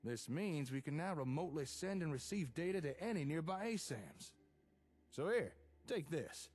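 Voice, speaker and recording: Game settlement fixer, male actor: higher-pitched, very varied intonation, folksy, easygoing, enthusiastic explainer. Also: sing-song, normal speed, darkish tone. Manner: folksy, chatty, drawn to technical explaining. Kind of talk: conversational video game dialogue.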